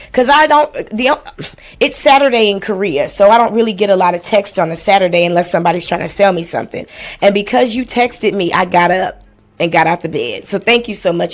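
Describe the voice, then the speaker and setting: A woman in her forties with a mid-range voice hosts a casual livestream. She talks straight to her viewers in loose, slangy speech. Her manner is blunt and playful, with swearing dropped in freely. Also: medium pitch. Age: 40s. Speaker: livestream host. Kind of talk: casual livestream chat with house rules and shout-outs.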